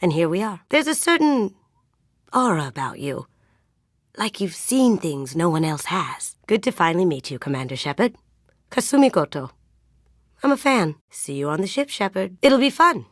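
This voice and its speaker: A woman's voice: lowish voice, very animated, normal speed, no room sound, studio quality. An actress playing a sly, playful young woman master thief in a sci-fi video game, with a teasing, lightly wry manner. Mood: sly, friendly.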